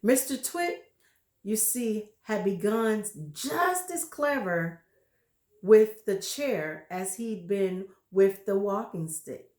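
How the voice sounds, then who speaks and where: A woman reading a children's story aloud, expressive and pausing now and then to chat about the pictures. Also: medium pitch, echoey room. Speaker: reader.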